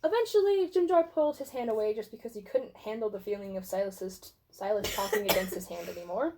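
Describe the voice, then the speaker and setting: A woman's voice: medium pitch, quick pace, very noisy. A woman giving a playfully theatrical, tongue-in-cheek dramatic reading of comic fan fiction as narrator.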